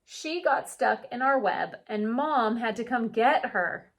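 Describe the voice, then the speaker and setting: A woman reading a picture book aloud like a teacher, with animated, drawn-out delivery and chatty asides. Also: a little high. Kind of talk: expressive children's read-aloud with chatty asides.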